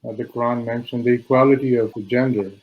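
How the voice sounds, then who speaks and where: A man hosting an interview on a video call, talking casually and haltingly with many fillers. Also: medium pitch, steady tone. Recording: tinny phone-like call audio.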